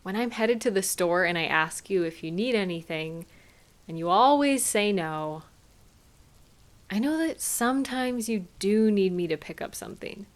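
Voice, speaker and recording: A woman playing a caring, gently chiding partner in an intimate audio roleplay, speaking soothingly to a sweetheart. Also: mid-range voice, expressive, close to an everyday voice. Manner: tender, soothing, gently questioning and unhurried. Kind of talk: intimate scripted audio roleplay addressed to the listener.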